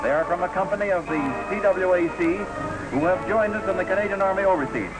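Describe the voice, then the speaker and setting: High-pitched 1940s newsreel man over music. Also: quick pace, poor audio. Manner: formal, patriotic announcer delivery. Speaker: newsreel narrator. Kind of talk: 1940s newsreel scripted narration. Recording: old 1940s film soundtrack, loud music. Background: loud newsreel music.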